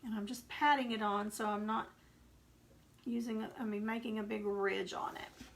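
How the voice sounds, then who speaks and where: Chatty Texan woman with a gravelly voice. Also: mid-range voice, fairly even intonation, normal speed, a little hiss or hum. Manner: chatty, rambling, homey asides while painting. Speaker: craft painting instructor. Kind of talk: casual live painting tutorial. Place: home craft workspace.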